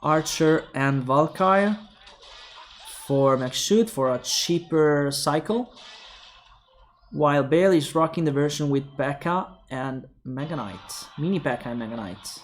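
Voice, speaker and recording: A young man with an Italian accent casting a mobile game tournament alone, calling plays quickly and thinking aloud to viewers. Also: fairly high pitch, slight background noise. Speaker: esports caster. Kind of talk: solo esports play-by-play commentary.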